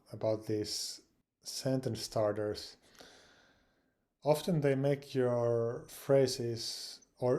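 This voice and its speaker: A man from Finland giving casual advice on language learning, speaking English with frequent pauses, fillers and repeated words. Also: mid-range voice, warm tone. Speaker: language learning channel host.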